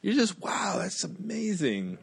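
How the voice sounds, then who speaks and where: A man in his forties who grew up in Queens, telling profane, animated stories in a casual chat and laughing often. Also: sits high, measured pace, creaky, a little hiss or hum. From Jamaica, Queens, New York.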